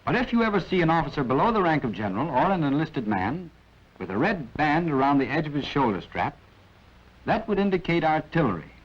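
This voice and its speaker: A man's voice: on the high side, sing-song, a little fast. A man with an American accent narrating a 1940s military training film, instructing in a clipped way with wry asides. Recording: dull, band-limited vintage film soundtrack.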